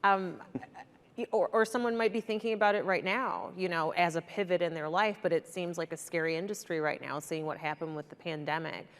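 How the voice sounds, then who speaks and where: A woman's voice: on the low side, fairly even intonation, fairly quick. A middle-aged American woman interviewing conversationally as a panel moderator, wearing a mic on a large conference stage. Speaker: panel moderator, TV news anchor. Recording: echoey auditorium stage, long reverb.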